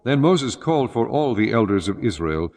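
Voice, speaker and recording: A man narrating scripture from a script in a solemn, measured, formal way. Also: medium pitch, sing-song, darkish tone. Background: music.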